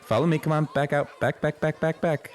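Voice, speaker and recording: A man's voice: fairly high pitch, fairly even intonation, fairly quick, some chatter behind, natural voice. A man playing a patient but harried zoo keeper in an improvised comedy radio play, politely placating and hesitant as he talks. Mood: gently urging.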